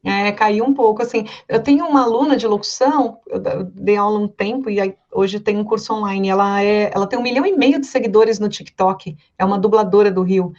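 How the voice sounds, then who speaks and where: A woman's voice: mid-range voice, fairly quick, hardly any pauses, darkish tone. A Brazilian woman, a professional voice-over artist, chatting casually and explaining her work from experience. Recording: slightly dull, muffled audio.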